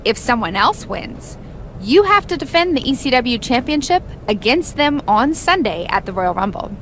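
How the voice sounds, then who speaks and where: Animated, high-voiced wrestling show manager. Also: female voice, moderate pace, rough recording. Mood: businesslike. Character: businesslike young woman wrestling show manager. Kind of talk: scripted wrestling game cutscene acting. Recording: background noise in game scenes.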